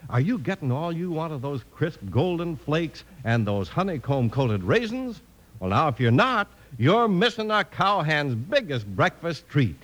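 Man doing an old-time radio ad, lively sing-song, cowboy patter. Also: on the high side, a little slow. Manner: folksy cowboy slang. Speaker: radio announcer. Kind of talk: folksy 1950s radio ad.

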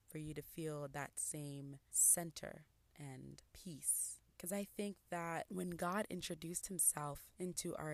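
A woman from Congo hosts a personal podcast, talking in an earnest, reflective way. Her voice sits low. The recording is dry and close, with no room sound. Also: no echo. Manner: earnest, reflective, soft-spoken with occasional laughs. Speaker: podcast host. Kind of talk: personal monologue.

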